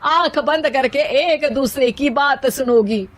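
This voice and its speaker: A woman's voice: sits high, slow, voice pushed up. A woman voicing a bossy, exasperated older mother-in-law in a cartoon story, with commanding, melodramatic delivery. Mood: stern.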